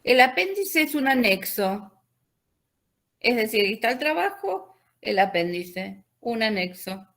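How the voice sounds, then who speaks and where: Slow-speaking Argentine woman teacher. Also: fairly high pitch, sing-song, a bit bright. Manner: slow, hesitant with many fillers. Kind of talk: online class consultation.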